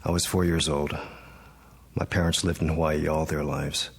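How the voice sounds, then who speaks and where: An actor playing a troubled, quick-tempered young man in a radio drama, hesitant, brooding and intense. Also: very deep voice, little pitch movement, gravelly, slightly rough, exaggerated voice. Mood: solemn recollection.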